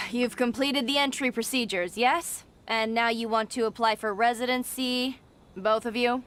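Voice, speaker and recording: Game residency clerk, female, fairly high voice, curt, officious, faint music. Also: measured pace, a bit bright. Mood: curt, unmoved. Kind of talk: video game voice acting.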